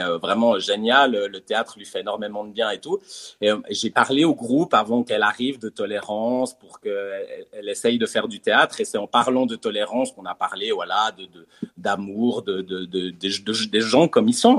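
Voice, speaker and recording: A man's voice: fairly low pitch, fairly bright tone. A man, a comedian who also teaches theatre, talks casually in an interview. He hesitates often and keeps circling back with fillers and repeated words.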